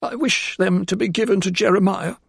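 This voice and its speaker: A man's voice: sits high, fairly even intonation, fairly quick, creaky, breathy edge, dry recording, clean studio sound, pitched above the performer's own voice, heavily acted. A man doing a quavering, tearful housekeeper woman. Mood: tearful pleading. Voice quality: quavering, pleading.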